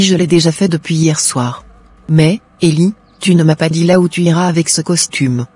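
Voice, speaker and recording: A man voicing a devoted, pious young wife who speaks softly and prays for her husband. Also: a little high, breathy edge, dry recording. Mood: worried. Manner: soft, pleading, prayerful.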